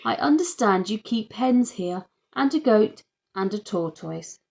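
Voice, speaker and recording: A woman's voice: mid-range voice, lively intonation, measured pace, creaky, darkish tone. A woman voicing an officious, insistent woman council inspector in a children's audiobook. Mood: brisk, inquiring. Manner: bossy, insistent.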